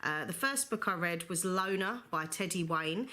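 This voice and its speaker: Chatty woman with a bright tone. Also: on the low side, lively intonation, normal speed. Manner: chatty, rambling, many fillers like "you know". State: recovering from flu, slight cough. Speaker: book vlogger. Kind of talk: casual book review monologue.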